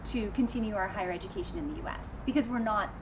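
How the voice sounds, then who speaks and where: A woman teacher answering interview questions about her life in a relaxed, thoughtful way, with frequent pauses. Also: medium pitch. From United States. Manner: thoughtful, with frequent ums and light laughs. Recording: noisy background ambience.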